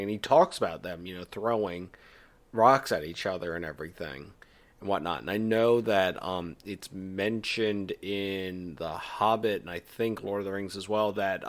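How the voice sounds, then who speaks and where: A man co-hosting a podcast, talking in a laid-back way that trails off with fillers. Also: low-pitched. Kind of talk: casual chat.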